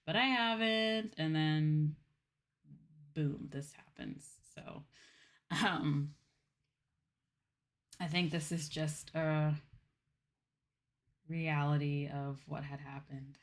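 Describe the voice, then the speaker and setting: A woman's voice: sits low, extremely slow, pauses often. A woman livestream host chatting casually to her viewers in an informal, gushing way. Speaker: game livestream host, VTuber.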